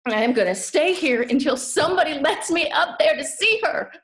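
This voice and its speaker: High-voiced, anxious daughter, played by an actress. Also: female voice, natural voice. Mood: desperate, determined. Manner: halting, repeating words, emotional. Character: anxious, devoted Southern daughter kept from her mama's bedside. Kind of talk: theatrical monologue.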